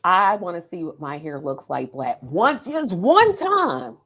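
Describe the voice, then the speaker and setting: A woman's voice: fairly low pitch, big pitch swings, a little slow, fairly bright tone, slight background noise. A woman in her forties hosting a podcast interview, talking in a playful, self-teasing way. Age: 40s. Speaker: podcast host. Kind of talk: casual interview chat.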